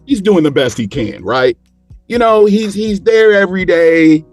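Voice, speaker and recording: A man chatting casually about sports as a panel guest, repeating words haltingly as he builds his points. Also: sits high, lively intonation, faint music behind.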